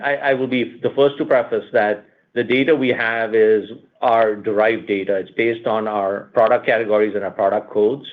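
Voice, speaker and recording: A man, a company executive, answering analysts on an earnings call, talking quickly with restarts and stock phrases. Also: mid-range voice. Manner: fast, repeats words, leans on "look" and "at the end of the day". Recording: tinny phone-line audio.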